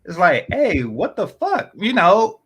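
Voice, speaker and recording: A man talking in a casual podcast chat, rambling with many fillers and restarts, often dropping into low, quiet asides. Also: huge pitch swings, slow, lo-fi sound, a little hiss or hum.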